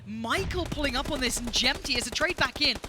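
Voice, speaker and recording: A man casting a live esports match on a headset, getting excited and shouting when fights break out. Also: very high-pitched, a little fast, background noise. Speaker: esports caster.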